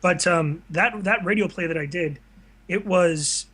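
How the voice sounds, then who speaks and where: A man's voice: high voice, measured pace, a bit bright, husky. A young man chatting casually as a guest in an interview. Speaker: guest, video creator. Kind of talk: casual chat.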